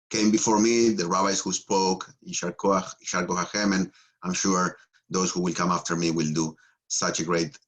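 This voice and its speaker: Argentine-accented male rabbi, low voice, halting teaching talk with fillers and small jokes. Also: a bit bright, low-quality recording. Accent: Argentine Spanish. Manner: joking, halting, many fillers and restarts.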